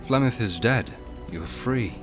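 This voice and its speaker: A man playing a steady, protective warrior hero in a fantasy game, speaking plainly and directly. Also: medium pitch, normal speed, over music, close to an everyday voice. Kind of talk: earnest game dialogue acting.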